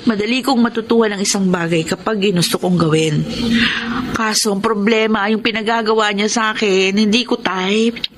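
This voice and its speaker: A woman's voice: medium pitch, steady tone, moderate pace, lightly acted. Actress as frank, plaintive young girlfriend. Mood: aggrieved. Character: discontented young live-in girlfriend, fond but near her limit. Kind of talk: Tagalog radio drama acting. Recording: noisy radio drama track.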